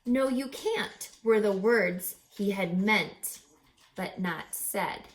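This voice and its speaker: A woman reading a rhyming children's picture book aloud as a teacher, with theatrical, deliberate, emphatic phrasing. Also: medium pitch, rough recording. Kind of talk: expressive children's read-aloud of rhyming verse.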